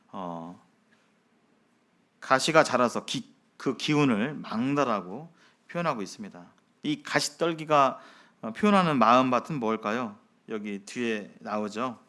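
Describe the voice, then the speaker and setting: Man, pastor, sermon, medium pitch, measured delivery, frequent fillers, rhetorical questions. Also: fairly bright tone.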